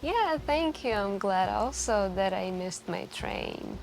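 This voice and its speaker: A woman acting as a wistful young woman in an intimate ASMR café roleplay. She speaks softly and slowly at a medium pitch, musing and confiding with hesitant pauses. Quiet music plays behind her voice. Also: expressive, vocal fry, poor audio. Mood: tender, grateful. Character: wistful, soft-spoken young woman stranded at a late-night café. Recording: continuous quiet background music. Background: quiet background music.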